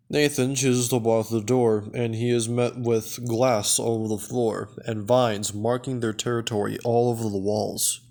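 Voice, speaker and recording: A man's voice: mid-range voice, a little mellow. Guy doing a laid-back recap narration for a video, regular mid-range voice. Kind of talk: casual scripted recap commentary.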